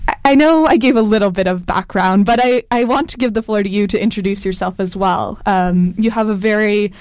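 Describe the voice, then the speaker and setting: A young woman who hosts a campus interview program, friendly and a little halting, with a few "um"s. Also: mid-range voice, a little mellow, smooth, no room sound. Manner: friendly, slightly halting with ums. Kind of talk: interview hosting.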